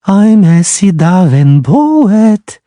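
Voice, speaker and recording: A man voicing a reserved, quietly bitter young woman in an audiobook. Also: on the high side, animated, normal speed, slightly rough, dry recording, studio quality, voice pushed up. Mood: polite. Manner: soft, melodic.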